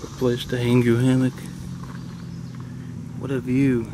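A middle-aged man casually narrating a hike on a wooded lakeside trail in a relaxed, admiring way, recorded on a handheld camera. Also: medium pitch, a little slow. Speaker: hiking vlogger. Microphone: handheld camera mic, speaker off camera. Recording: faint outdoor noise.

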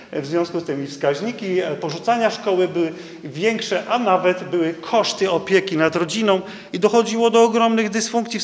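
A middle-aged man stands at a stand microphone and gives a conference talk in a high-pitched voice. He reads legal passages aloud and stumbles through fillers and restarts between them. His words ring back through a large, reverberant hall. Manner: halting, with many fillers and restarts, reading legal text aloud. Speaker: doctor giving a conference talk. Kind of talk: conference lecture reading out court rulings. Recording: echoey hall, long reverb.

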